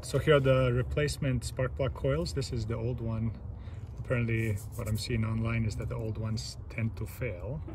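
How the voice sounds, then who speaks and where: Male DIY demonstrator, gravelly fry, plain unhurried step-by-step delivery, recorded outside over noticeable ambient noise. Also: mid-range voice, level tone. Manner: plain, unhurried, pausing mid-sentence while working. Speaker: DIY car repair demonstrator. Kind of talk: casual step-by-step how-to narration while working. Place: outdoors, beside an open car engine bay. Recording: clear background noise outdoors.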